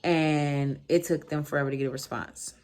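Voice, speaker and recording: Casting: American woman vlogger, low voice, loose slangy storytime delivery with animated asides. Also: moderate pace. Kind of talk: casual storytime chat to camera.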